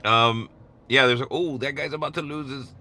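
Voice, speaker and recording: A man's voice: mid-range voice, steady tone, moderate pace, bright, forceful tone. A man hosting a casual livestream, talking in an informal, rambling way. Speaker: livestream host listening to police scanner. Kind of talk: casual livestream chat and commentary. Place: in a vehicle on city streets. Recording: clear car and traffic noise. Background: vehicle and street noise.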